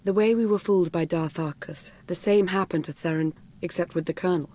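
An actress playing a cool, composed female intelligence operative in a video game, speaking in a measured, formal, understated way. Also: fairly low pitch, warm tone, a little hiss or hum, lightly acted. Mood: grave, measured. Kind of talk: scripted video game cutscene acting.

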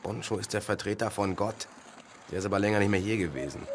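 Guy as an overworked heaven bureaucrat, low voice, talks fast, complaining, noisy behind. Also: lightly acted. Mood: grumbling. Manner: complaining, matter-of-fact. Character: overworked, grumbling male afterlife official. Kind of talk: radio play acting. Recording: clearly noisy background.

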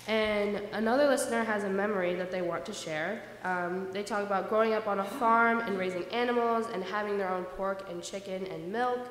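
A woman's voice: medium pitch, a little fast, hardly any pauses. A young woman reading aloud to a congregation as a co-presenter, at a stand microphone in a large echoey church. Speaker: co-presenter reading listener dedications. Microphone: vintage-style stand microphone. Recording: echoey church hall, faint noise.

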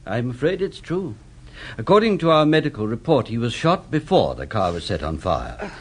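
An actor playing a brisk senior police officer with a British accent in a radio drama, formal and probing. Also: male voice, medium pitch, very animated, husky. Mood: grave.

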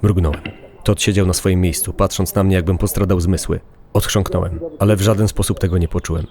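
A man's voice: low voice, steady tone, a little fast, dark tone. A man narrating a dramatized first-person horror-comedy story as a podcast reader, with crowd-like sound behind him.

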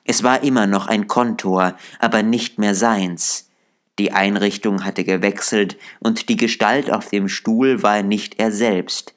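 A man reading an audiobook aloud in calm, measured storytelling narration. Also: fairly low pitch. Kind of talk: scripted narration.

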